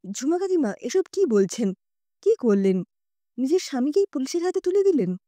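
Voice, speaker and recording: Tender, worried young mother, a woman storyteller acting: earnest, emotional, soft mellow voice, audio drama. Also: high-pitched, very animated, normal speed, dry recording. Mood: shocked, questioning. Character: anxious, tender-hearted young mother, quick to scold and regret.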